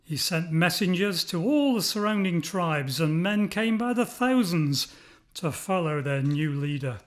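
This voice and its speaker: A male storyteller narrates for children. His voice sits high for a man. He reads expressively from a script at a slow, careful pace. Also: lively intonation.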